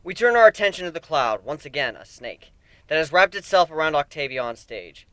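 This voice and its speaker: Male amateur narrator for dramatic fan fiction reading: expressive, highish, bright, lively intonation. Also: a little fast, no room sound. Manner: theatrical, expressive.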